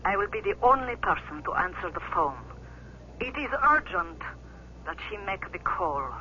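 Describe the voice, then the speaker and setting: A woman's voice: mid-range voice, lively intonation, moderate pace, pushed and bright, lo-fi sound. A woman playing a secretive, insistent caller through a telephone filter in a 1970s radio drama. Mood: guarded, urgent. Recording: thin phone sound with faint hiss.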